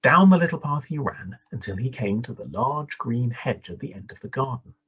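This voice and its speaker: Male children's storyteller, very husky, breathy tone, lively swooping intonation, deliberate dramatic delivery. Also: medium pitch, muffled recording. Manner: animated, deliberate, dramatic pauses. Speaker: storybook reader. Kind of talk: expressive read-aloud children's story narration.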